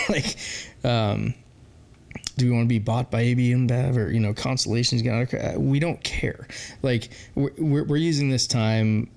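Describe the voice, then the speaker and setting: A man's voice: mid-range voice, steady tone, normal speed, vocal fry, a little mellow. A forty-year-old man answering interview questions as a brewery founder, candid and rambling, with lots of fillers and laughs. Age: 40s.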